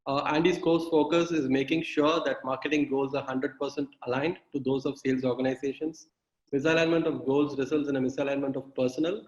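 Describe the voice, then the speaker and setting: A man's voice: medium pitch, highs cut off. Hesitant male host in an echoey room. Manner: hesitant, many "uh"s. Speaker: summit host. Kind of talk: introducing a speaker and interviewing.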